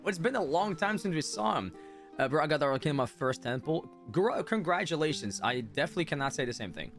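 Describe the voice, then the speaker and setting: A man's voice: a little high, animated, fast, a bit bright. A man giving casual live game commentary, musing aloud and joking in a chatty way. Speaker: game streamer. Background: game music.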